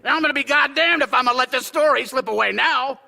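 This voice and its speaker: An actor playing a blunt, driven male newspaper editor in a radio drama, forceful and profane. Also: very high-pitched, fast talker, creaky, exaggerated voice. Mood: fired up.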